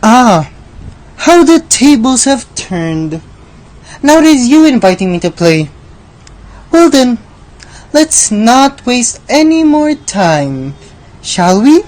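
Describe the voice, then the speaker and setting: A woman playing Death as a suave, mocking gentleman in a theatrical radio drama, imperious and drawn-out. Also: on the high side, sing-song, low-quality recording. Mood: gloating, suave.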